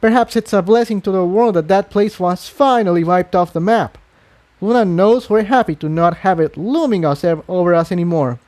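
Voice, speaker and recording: Man voicing a high, judgmental unicorn mare. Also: moderate pace, darkish tone, close to an everyday voice. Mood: disgusted. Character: haughty, easily appalled female scarlet unicorn townsperson.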